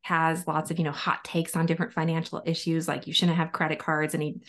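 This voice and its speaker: A woman guest on a podcast, telling stories in a chatty, lively way with lots of fillers. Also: deep voice, a little fast. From United States. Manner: chatty, animated storytelling with many fillers. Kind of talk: casual interview chat.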